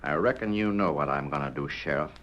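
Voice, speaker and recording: A man's voice: rumbling low, brisk. An actor playing a menacing male outlaw gunman in a 1938 radio drama, drawling his threats. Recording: muffled 1938 radio broadcast with hiss.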